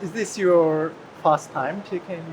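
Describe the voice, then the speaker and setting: A man conducting a casual interview in simple, halting English with frequent small acknowledgements. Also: on the high side, steady tone. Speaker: street interviewer. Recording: clear ambient street noise.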